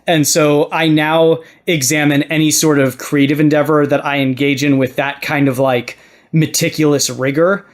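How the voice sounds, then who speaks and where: Male podcast host, fairly high voice, articulate conversational delivery with careful emphasis. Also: flat delivery, moderate pace. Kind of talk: casual discussion.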